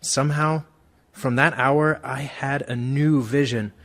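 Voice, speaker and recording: A man's voice: mid-range voice, steady tone, measured pace, creaky, a bit bright. A man playing a grateful, remorseful man of the world, delivering an earnest, reflective radio play monologue. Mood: wistful.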